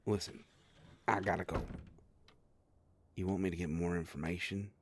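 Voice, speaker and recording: Man playing a deep, gravelly werewolf detective. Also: fairly even intonation, moderate pace, slight background noise. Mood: exasperated, defensive. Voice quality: low gravelly voice. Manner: sardonic, grumbling, conversational. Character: exasperated male werewolf private investigator, gruff but soft-hearted. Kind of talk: first-person audio roleplay drama.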